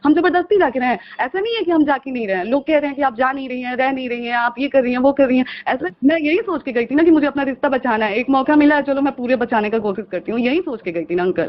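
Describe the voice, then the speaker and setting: Upset, high-voiced young woman on muffled phone. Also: quick pace, few pauses. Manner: insistent, pleading, repeating herself. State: upset, aggrieved. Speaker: caller. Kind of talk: heated phone conversation. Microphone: phone call. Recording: muffled lo-fi phone call recording.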